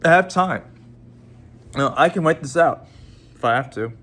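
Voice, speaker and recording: A man in his twenties talking casually to his viewers in a halting way, with many restarts and repeated words. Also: a little high, lively intonation, fairly bright tone. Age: 20s. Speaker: vlogger. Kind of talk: casual vlog talk to viewers.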